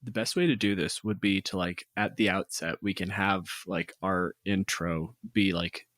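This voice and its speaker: A man's voice: deep voice, steady tone, moderate pace, a bit bright, dry recording, clean studio sound. A man talking through plans in an informal, sweary podcast conversation. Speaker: podcast co-host, physicist. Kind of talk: casual planning chat.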